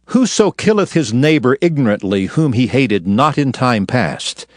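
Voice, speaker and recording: A man's voice: medium pitch, very animated, a little slow. A man narrating dramatized scripture in a solemn, declamatory manner.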